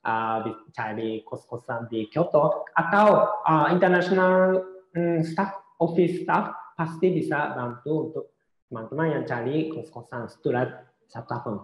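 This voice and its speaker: A man giving an informal online seminar presentation as a speaker on studying abroad, talking in a halting, reassuring way with frequent hesitant pauses. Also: a little high. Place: indoors, online seminar call. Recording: muffled, roomy online call audio.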